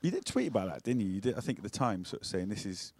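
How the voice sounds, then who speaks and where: A man hosts a casual podcast and fires off teasing questions at a brisk clip. His voice sits fairly low and sounds dry and close to the mic. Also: big pitch swings, a little mellow, no room sound. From Wales. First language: Welsh. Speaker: podcast host. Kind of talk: casual banter and interviewing.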